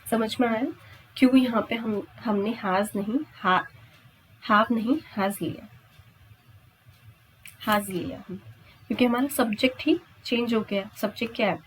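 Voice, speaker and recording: A woman's voice: on the high side, unhurried, long pauses, slight background noise, poor audio. A woman with an Indian accent teaching grammar step by step, often checking with questions that listeners follow. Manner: instructional, checks understanding with frequent questions and tags. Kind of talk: exam-prep lesson, explaining step by step.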